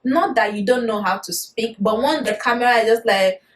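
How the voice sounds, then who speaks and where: A woman in her thirties with a Nigerian accent, chatting to camera in a casual vlog, animated and quick to laugh. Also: fairly high pitch. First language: Yoruba. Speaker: vlogger.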